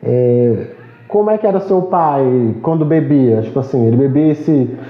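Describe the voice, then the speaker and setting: A man hosting a podcast. He mostly asks short questions and gives brief replies in a middle-pitched voice. The room is echoey and the sound is somewhat dull. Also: animated, normal speed. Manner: short prompts and backchannel replies. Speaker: podcast host. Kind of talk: casual interview.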